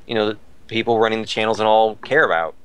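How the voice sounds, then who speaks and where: A man's voice: lowish voice, fast talker, a bit bright, clean tone. A man talking casually as a podcast panelist, explaining things in a detailed, digressive way. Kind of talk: casual discussion, reporting figures.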